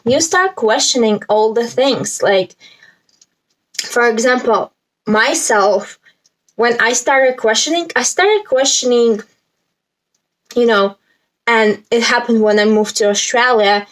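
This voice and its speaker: Slow, higher-pitched Latvian-accented young woman. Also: poor audio. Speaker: spiritual self-help vlogger. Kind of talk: casual talk to camera, explaining a list.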